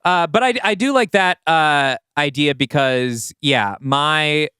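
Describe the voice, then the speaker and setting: A man's voice: a little high, slow pace, pushed and bright, clear, resonant tone, no echo, studio quality. A man co-hosting a podcast in a casual creative discussion, thinking aloud as he explains his writing. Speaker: podcast co-host and audio play writer.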